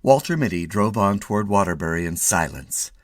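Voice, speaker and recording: A man reading a comic short story dramatically, with theatrical, expressive delivery. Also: deep voice, brisk, no echo, clean studio sound. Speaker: story reader.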